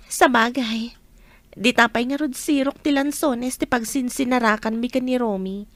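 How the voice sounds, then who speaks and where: Casting: actress as gentle young nurse, higher-pitched voice, close dry sound, radio drama. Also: female voice, unhurried, long pauses, no echo. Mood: friendly, chatty. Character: caring young village nurse, gentle and sociable. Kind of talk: Ilocano radio drama acting.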